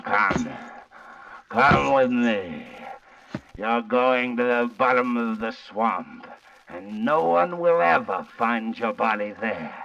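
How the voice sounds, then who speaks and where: A gravelly, sinister monster from the swamp in an old radio thriller. It gives ominous commands in a forceful voice, heard from a distance on a muffled, noisy 1940s recording. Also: male voice, mid-range voice, lively intonation, slow, full character voice. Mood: menacing. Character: menacing swamp monster, a gangster in disguise.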